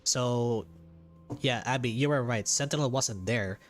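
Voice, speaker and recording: A man's voice: medium pitch, measured pace. A man with a Filipino accent, a native Tagalog speaker, giving casual, joking and somewhat hesitant game commentary as a streamer. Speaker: streamer playing and reacting to a game.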